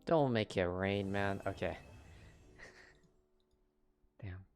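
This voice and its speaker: A man's voice: sits low, extremely slow, soft background music. A young man livestreaming a game, chatting casually in a laid-back way and often muttering to himself. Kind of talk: casual stream chat while gaming.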